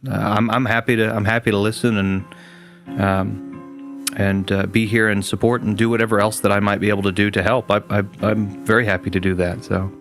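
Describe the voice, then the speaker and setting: A man's voice: on the low side, little pitch movement, normal speed, a bit bright, soft background music. A man hosting a gaming stream, chatting casually with viewers in a rambling way, full of restarts and fillers.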